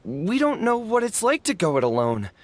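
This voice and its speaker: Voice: mid-range voice, wildly swooping pitch, moderate pace, a little mellow. An actor voicing a timid, honest, worried townsperson in a fantasy game, with a hesitant and apologetic manner. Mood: fearful. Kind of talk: animated game character acting.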